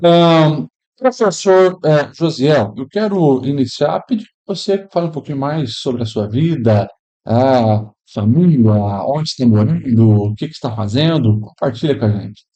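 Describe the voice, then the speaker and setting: A man's voice: mid-range voice, expressive, a little slow, mellow. Upbeat man hosting a faith podcast. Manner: upbeat, welcoming, churchy greetings. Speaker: podcast host, pastor. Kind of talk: podcast hosting, warm church greeting. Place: improvised room at a pastors' convention.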